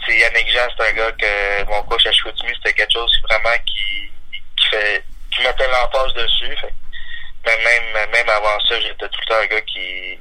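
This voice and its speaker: A young man from Montreal with a Quebec French accent, a hockey player answering interview questions in a halting way with many fillers, heard over a phone line. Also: fairly low pitch, steady tone, pushed and bright. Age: young adult. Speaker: junior hockey player guest.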